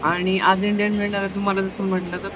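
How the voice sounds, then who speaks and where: A woman's voice: medium pitch, level tone, fast talker, loud music behind the voice. A woman chatting casually on camera in a travel vlog.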